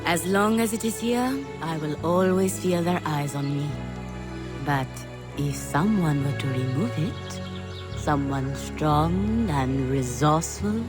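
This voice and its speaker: Solemn, low-voiced, loving mother. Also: expressive, slow pace, over music. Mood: weary, fearful. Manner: solemn, earnest. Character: loving, weary middle-aged mother living in fear. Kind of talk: video game cutscene acting.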